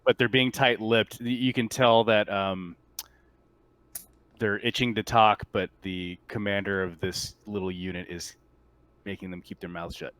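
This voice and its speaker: A man with a fairly low voice serves as game master at a tabletop roleplaying session. He is recorded close and dry, moving between narration and plain talk. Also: fairly even intonation, a little slow, fairly bright tone, clean tone, dry recording.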